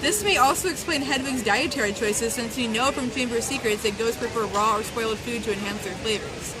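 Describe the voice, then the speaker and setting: A woman's voice: on the high side, fairly quick, over a noisy crowd. A woman giving a playful, mock-serious dramatic reading of a humorous essay. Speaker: reader of a fan zine. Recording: noisy outdoor urban recording with traffic.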